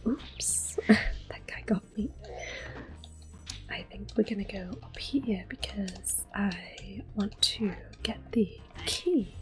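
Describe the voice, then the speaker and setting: A woman speaking softly and whispering in a gentle, unhurried, rambling way as she gives casual ASMR commentary while playing a video game. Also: mid-range voice, rough recording. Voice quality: soft-spoken, whispery. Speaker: gaming video host. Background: game music and controller sounds.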